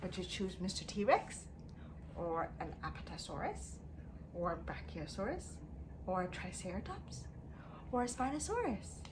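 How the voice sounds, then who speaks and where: A woman teacher reading a story aloud to young children in an expressive way, using simple phrasing and putting questions to them. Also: mid-range voice, measured pace, noisy background. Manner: expressive, emphatic delivery.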